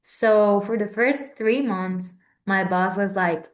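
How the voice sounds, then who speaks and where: A woman's voice: mid-range voice, steady tone, takes it slowly. Chatty young woman. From Central America. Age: 20s. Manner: chatty, with many fillers and restarts. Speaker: Vatican correspondent. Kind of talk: casual informal talk.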